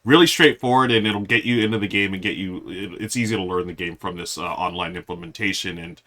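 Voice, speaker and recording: A man's voice: low voice, fast, bright, forceful tone. A man co-hosting a board game live stream, rambling casually and talking to viewers as folks, his voice a bit unsteady with a cough drop in. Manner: rambling, restarts often, addresses viewers as folks. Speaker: co-host of a board game show.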